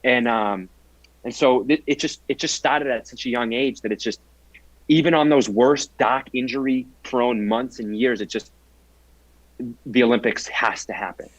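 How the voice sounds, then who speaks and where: Husky earnest young man. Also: mid-range voice, normal speed, no room sound, lo-fi sound. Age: 20s. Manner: earnest, reflective storytelling. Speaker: guest athlete. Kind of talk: casual interview.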